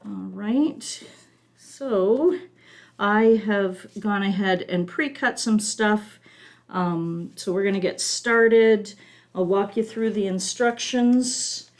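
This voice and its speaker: A woman leads an online card-making lesson, moving through each step slowly and methodically with small fillers along the way. Her voice is still recovering from a long illness. She speaks at a middle pitch. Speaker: craft class instructor.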